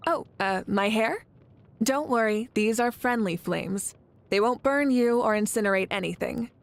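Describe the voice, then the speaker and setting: Woman voicing a confident fire goddess from a video game, calm and measured, warm leader type, music behind her. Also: medium pitch, natural voice. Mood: friendly, easygoing. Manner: composed, measured, assured. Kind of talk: scripted video game character lines. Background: background music.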